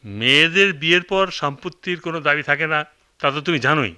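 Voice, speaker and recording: A man's voice: fairly high pitch, expressive, fairly quick, bright, forceful tone, smooth. An actor playing a greedy, callous middle-aged man in a radio drama, blustering, scornful and boastful. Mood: boastful, scheming. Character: greedy, callous, ambitious older brother and husband.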